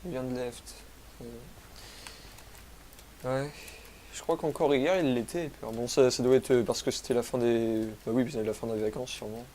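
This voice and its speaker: A man's voice: mid-range voice, steady tone, moderate pace, long pauses, dark tone, a little hiss or hum. A young man from France with a cold, rambling through gameplay commentary, self-mocking and using crude slang. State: has a cold, sniffly. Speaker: gaming youtuber. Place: home, rural.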